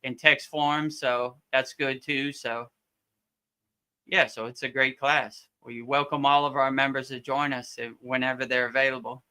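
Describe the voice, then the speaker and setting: A man's voice: medium pitch, flat delivery, a little slow, pushed and bright, clear, resonant tone. A man hosting a live question-and-answer session as a yoga teacher, chatting informally and often repeating and rephrasing his points. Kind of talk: casual live Q&A chat.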